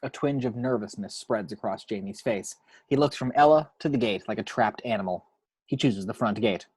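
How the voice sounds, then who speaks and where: Husky, dry-sounding man narrating a script. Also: lowish voice, moderate pace, a little mellow, dry recording. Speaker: reader of action descriptions.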